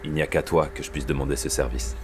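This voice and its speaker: A man acting a grave, worried, secretive police superior in an audio thriller, speaking in a hushed, halting, confiding way. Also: steady tone, over music. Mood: worried, insistent. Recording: clear background noise, vehicle-like rumble.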